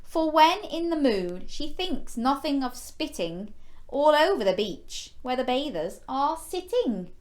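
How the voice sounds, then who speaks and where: A woman's voice: high-pitched, big pitch swings, unhurried. A woman hosting a children's story time, reading a picture book expressively with exclamations and playful questions to young listeners. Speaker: children's storyteller and show host.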